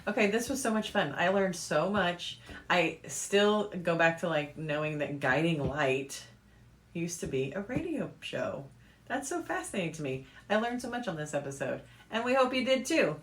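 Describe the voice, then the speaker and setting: A woman's voice: lowish voice, animated, normal speed. A woman co-hosting a podcast in a casual, chatty style. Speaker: podcast co-host. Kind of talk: casual chat.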